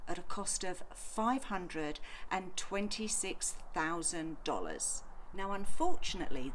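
A woman's voice: fairly low pitch, a bit bright, a little hiss or hum. A middle-aged woman, narrating a travel vlog on camera while touring an abandoned building. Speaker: travel vlogger.

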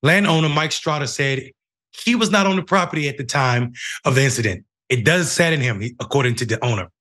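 Halting middle-aged American man hosting news commentary. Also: mid-range voice, animated, bright, forceful tone. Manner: halting, with repeated phrases. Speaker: news show host. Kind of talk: news commentary, reading a report and giving opinion. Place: home room, indoors.

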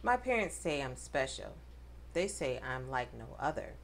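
A woman reading a rhyming picture book aloud to children, with expressive, dramatic emphasis. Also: rumbling low, unhurried, a little hiss or hum. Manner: addresses children directly, dramatic emphasis on rhymes.

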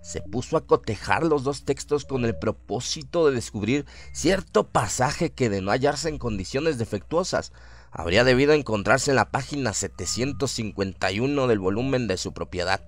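A man narrating a horror story for a radio drama in a measured, literary storytelling manner. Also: mid-range voice, animated.

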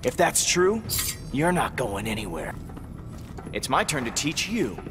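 Cocky, lively vigilante brawler. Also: male voice, mid-range voice, measured pace, music in the background. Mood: cocky menace. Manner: cocky, taunting. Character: cocky, hot-headed vigilante spoiling for a fight. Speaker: video game character. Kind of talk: video game fight banter.

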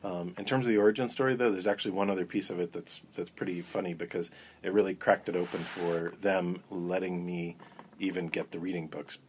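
A man guest in an interview, talking in a relaxed, rambling way with many ums and restarts. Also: low voice, brisk, slight background noise. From Florida. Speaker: interview guest, coven elder. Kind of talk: casual storytelling interview.

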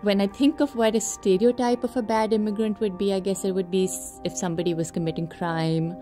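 A woman's voice: mid-range voice, steady tone, brisk, warm tone, faint music behind. A woman from Pakistan answering interview questions earnestly in a studio, now and then laughing lightly.

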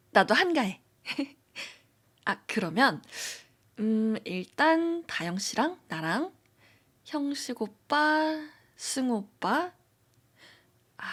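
A woman playing a soft, hesitant senior colleague. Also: high voice, slightly rough, exaggerated voice. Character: gentle young woman senior colleague leaving her job. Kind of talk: amateur audio drama acting.

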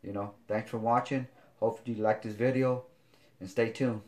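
A man in his forties with a fairly low voice talks through his kitchen gear in a loose, halting way, full of fillers and restarts. He is recorded by a camera on the counter of his home kitchen. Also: steady tone, darkish tone. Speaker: fitness vlogger. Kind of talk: casual product demo.

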